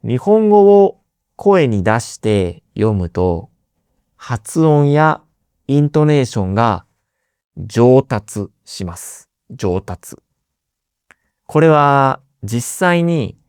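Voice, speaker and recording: Slow, mellow-voiced male language teacher. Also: mid-range voice, clean tone, dry recording, studio quality. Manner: deliberate, repeats key words, friendly questions to listeners. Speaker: Japanese teacher, podcast host. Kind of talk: slow, simple explanation for learners.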